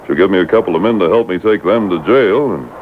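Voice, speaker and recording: An actor playing a stern, commanding Mountie sergeant in an old radio adventure serial, speaking with firm authority. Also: male voice, fast talker, natural voice. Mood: calm, assured. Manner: authoritative, firm, clipped interrogation. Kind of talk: 1940s-50s radio adventure serial acting. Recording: tinny, noisy boombox taping of AM radio.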